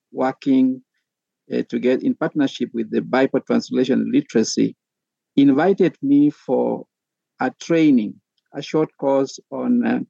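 A middle-aged man from Kenya, a pastor and theology professor, speaking as a podcast guest in a measured, methodical way with frequent pauses. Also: mid-range voice, gravelly, warm tone, no echo, dull audio.

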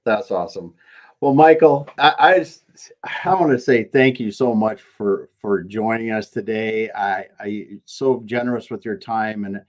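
A man hosting a casual interview, speaking haltingly and repeating words as he thinks. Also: fairly low pitch. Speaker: podcast host.

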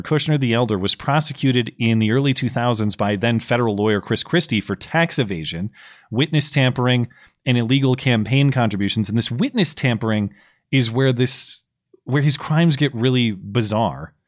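A slightly hoarse man co-hosting a podcast, recapping political news in a casual, explanatory way with plenty of fillers. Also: medium pitch. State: slightly hoarse, losing his voice. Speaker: podcast co-host.

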